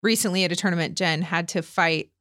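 A woman's voice: lowish voice, fairly even intonation, quick pace, a bit bright, no room sound. Chatty woman in her forties, dry mic. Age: 40s. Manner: chatty, laughs often, lots of "like". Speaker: podcast host. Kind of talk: casual interview chat.

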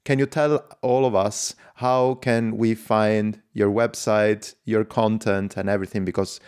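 Man, podcast host, enthusiastic, lowish voice. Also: steady tone, unhurried, darkish tone, clear, resonant tone. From lives in Switzerland. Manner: enthusiastic, non-native phrasing. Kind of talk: casual podcast interview.

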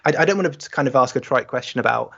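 Gravelly-voiced male podcast host. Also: mid-range voice, animated, fast talker, sharp tone. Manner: hesitant, hedging questions. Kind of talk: interview questions. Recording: slightly muffled recording.

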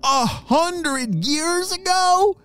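A man doing a squeaky young boy tortoise. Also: sing-song, slow pace, soft background music, exaggerated voice. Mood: excited.

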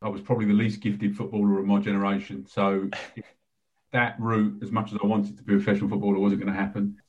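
A man's voice: on the low side, flat delivery, fast, narrow, tinny audio. A middle-aged man from South East London, a guest answering interview questions with modest, self-deprecating humour. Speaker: guest, charity chief executive.

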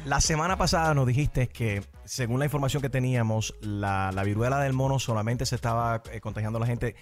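A man's voice: mid-range voice, a little fast. A man hosting a morning radio show in a jokey, upbeat manner. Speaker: radio host.

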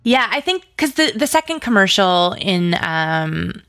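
A woman's voice: mid-range voice, sing-song, a little slow, fairly bright tone, clear, resonant tone. A woman being interviewed about creative work, speaking informally and effusively in tumbling asides. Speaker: interview guest, audio drama writer and producer. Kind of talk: casual interview chat.

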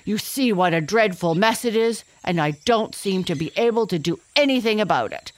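A woman voicing a vain, hot-tempered young male lion who yells and blusters. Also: mid-range voice, lively intonation, normal speed, voice pushed up, natural voice. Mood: fretful. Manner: yelling, blustering.

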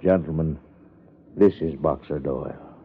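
An actor playing a solemn doctor with a grave, halting manner and husky voice in a 1950s radio drama, on a thin, muffled old recording. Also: male voice, a bass voice, slight background noise. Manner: grave, halting short phrases. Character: solemn male doctor keeping a dying patient.

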